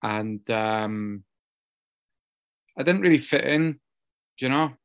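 A Scottish man telling his own story of drink and drugs, halting and candid, with many pauses, fillers and restarts. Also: medium pitch, smooth, no echo, highs cut off. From Scotland. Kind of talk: personal recovery story, casual monologue.

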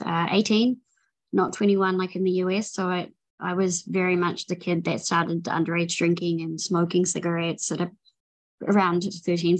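A woman's voice: on the low side, poor audio. A young woman with a New Zealand accent, sharing her recovery story in a tired, rambling way full of ums and restarts. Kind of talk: personal recovery share.

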